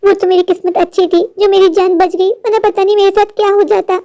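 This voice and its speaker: A woman voicing an earnest, gullible village husband in a cartoon, wordy and easily flattered. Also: very high-pitched, fast talker. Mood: fretful. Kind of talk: cartoon dialogue and monologue.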